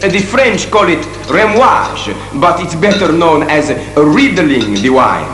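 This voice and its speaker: A man's voice: on the high side, lively intonation, a little slow, a bit bright, reverberant, big-room sound, faint music behind. A man playing a genial winemaker in an audio drama, explaining his craft eagerly with emphatic asides. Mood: proud, instructive. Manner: emphatic, hesitant asides, tag questions.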